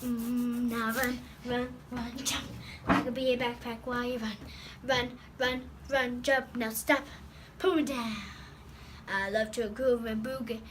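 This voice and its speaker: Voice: mid-range voice, level tone, unhurried, noisy background, close to an everyday voice. A performer voicing a goofy, chatty cartoon creature in a zany, singsong manner given to wordless whooping. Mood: playful, goofy.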